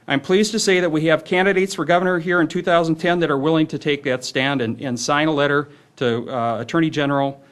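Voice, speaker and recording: A man with a slightly high voice hosts a press conference. He delivers a prepared statement formally, with a halting flow as he works through it. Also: fairly even intonation, fairly bright tone. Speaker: press conference host and spokesman.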